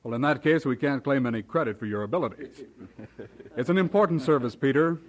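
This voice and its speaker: A man's voice: mid-range voice, brisk, natural voice. An actor playing a jovial, teasing clergyman in an audio drama, chatty and genial in manner.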